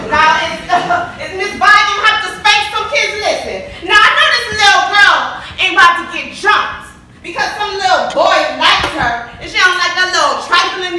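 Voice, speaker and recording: A woman's voice: extremely high pitch, sharp tone, full character voice. A woman acting a sassy, indignant church-going woman in a comic stage monologue, scolding and exclaiming in prayer. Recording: echoey hall from far off, clear noise.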